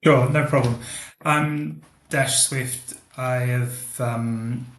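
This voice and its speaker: A man's voice: medium pitch, fairly even intonation, extremely slow, a bit bright. A man in his forties from Australia with an Australian accent, talking casually as a guest in a roomy, echoey recording. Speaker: guest, expatriate professional. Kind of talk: casual self-introduction.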